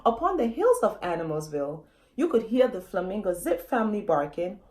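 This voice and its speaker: A woman's voice: medium pitch, big pitch swings, brisk, a little mellow. A woman author reading a children's story aloud, expressively, often addressing young listeners directly with questions.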